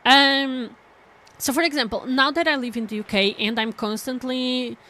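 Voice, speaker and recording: A woman's voice: sits high, fairly even intonation, moderate pace, sharp tone. A woman from Portugal, chatting casually with her stream viewers in a rambling way, full of fillers and restarts.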